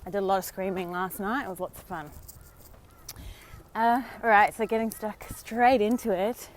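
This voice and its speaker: Slightly hoarse Australian woman, chatty. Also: medium pitch, steady tone, moderate pace, a little hiss or hum. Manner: chatty, encouraging, many fillers. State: slightly hoarse from a sore throat. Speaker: vlogger and dating coach. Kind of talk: casual live-stream talk. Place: outdoors on a walk.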